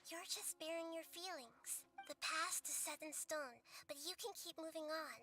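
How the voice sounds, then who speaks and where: Wise girl, high bright voice. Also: soft background music. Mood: compassionate, earnest. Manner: measured, earnest, philosophical. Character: wise, gentle, childlike girl guiding others. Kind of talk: anime-style video game voice acting.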